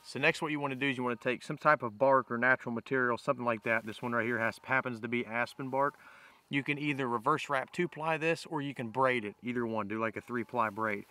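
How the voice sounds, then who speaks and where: A man's voice: medium pitch, fast, pushed and bright. A man giving a casual step-by-step bushcraft tutorial outdoors, explaining in a plain-spoken, informal way. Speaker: bushcraft demonstrator, host. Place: outdoors in woodland.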